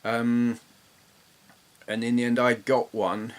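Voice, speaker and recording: A man's voice: mid-range voice, flat delivery, takes it slowly. A middle-aged man with an English accent, reminiscing casually in an informal, rambling, self-deprecating way. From England. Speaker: host, gamer.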